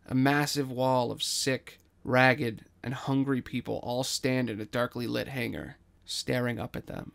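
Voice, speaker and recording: A man's voice: medium pitch, little pitch movement, a little slow, vocal fry, fairly bright tone. A man reading scripted narration and scene directions as the narrator of an audio drama.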